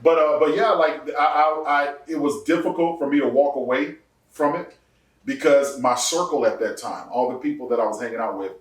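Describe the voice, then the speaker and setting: A man in his forties, a pastor, telling his testimony in a casual podcast interview, laughing often and jumping up in pitch for punchlines. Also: normal speed, distant mic. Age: 40s. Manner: animated storyteller, laughs often, rises sharply for punchlines. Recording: echoey room, voice off-mic.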